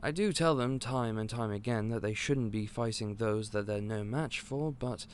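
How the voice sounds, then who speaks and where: A man playing an eccentric, otherworldly candy store owner in an audio roleplay, polite and gentle but a little scatterbrained. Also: medium pitch, moderate pace, a little hiss or hum. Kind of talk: scripted audio roleplay to the listener.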